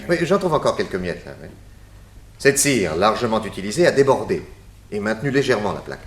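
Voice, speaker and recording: A shrewd male detective in a radio serial, recorded in a roomy space. His lowish voice moves widely as he reasons methodically with quiet authority. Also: very animated, a little fast. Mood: confident, emphatic. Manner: methodical, questioning, explanatory.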